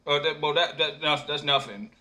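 A man voicing a hungry storybook man who stammers and hesitates, speaking slowly. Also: on the high side, bright, forceful tone. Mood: sheepish.

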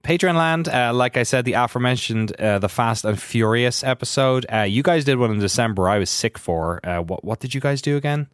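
An Irish man co-hosting a podcast, chatting casually with jokes and quick laughs. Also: medium pitch, clean tone, no echo, clean studio sound. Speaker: podcast co-host. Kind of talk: casual chat.

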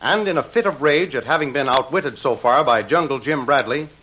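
An actor as the dramatic narrator of an adventure serial, in 1940s radio style. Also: male voice, mid-range voice, a little fast, sharp tone, a little hiss or hum, natural voice, low-quality recording.

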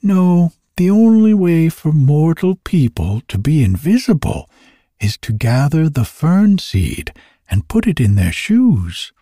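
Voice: mid-range voice, very animated, slow, dark tone, no room sound, pitched above the performer's own voice. A narrator voicing a tiny, fussy, boastful male elf with a dry, peevish voice in a storybook reading. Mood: scornful, boastful. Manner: fussy, exclamatory.

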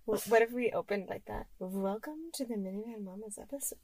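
A woman in her thirties with a cute, bubbly voice, co-hosting a casual podcast from a parked minivan, animated and playful. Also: mid-range voice, brisk, a loud crowd. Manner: animated, acts out quoted voices. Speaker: podcast co-host. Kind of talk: casual chat.

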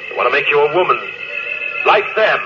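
An actor with an American accent playing a proud, emotionally closed captain in a classic radio drama, with intense, clipped delivery. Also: male voice, fairly high pitch, animated, fast, music in the background, natural voice. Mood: defensive, scornful. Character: proud, stern, emotionally closed spaceship captain and fleet commander. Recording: noisy, dull old radio recording.

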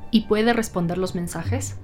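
A woman's voice: mid-range voice, a little slow, a little mellow, faint music behind, natural voice. A woman playing a composed, businesslike senior detective in an audio drama. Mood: probing, formal. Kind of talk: dramatic podcast dialogue.

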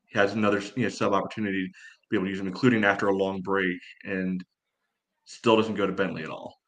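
An American man with a fairly low voice discusses sports as a podcast co-host. His delivery is informal and conversational. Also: fairly even intonation, fast, fairly bright tone.

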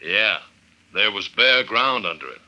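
A man's voice: on the low side, animated, measured pace, pushed and bright, exaggerated voice, rough recording. An actor playing a tough, wry detective agent who narrates his case in clipped slang, in the style of an old-time radio drama. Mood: confident, explaining. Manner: clipped, slangy, hard-boiled. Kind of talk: old-time radio drama acting, hard-boiled first-person narration. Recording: vintage radio drama recording.

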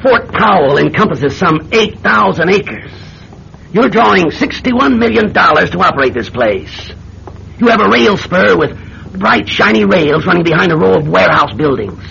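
A man's voice: high voice, animated, normal speed, vocal fry, pushed and bright, exaggerated voice. A man acting a shrewd, persistent middle-aged senator and old pilot in a radio drama, questioning insistently in a folksy, hesitant way. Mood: pressing. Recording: dull, noisy vintage radio recording.

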